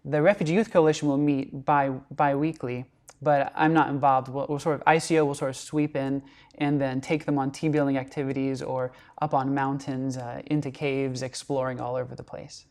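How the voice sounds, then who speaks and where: A man who leads an outdoor youth program, answering interview questions in an earnest, measured way and often qualifying what he says. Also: mid-range voice, little pitch movement, darkish tone.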